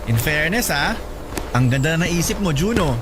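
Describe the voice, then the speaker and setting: A man's voice: a little high, expressive, normal speed, background noise, lightly acted. A man playing a playful young male friend who teases his buddies and keeps asking for food. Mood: teasing. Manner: teasing, bouncy banter.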